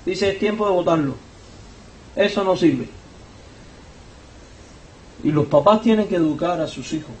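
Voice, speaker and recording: A man with a Cuban accent, preaching a sermon as pastor, driving his points home by climbing in pitch and volume. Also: unhurried, pauses often. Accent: Cuban Spanish. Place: church sanctuary. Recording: echoey church hall, voice from far off.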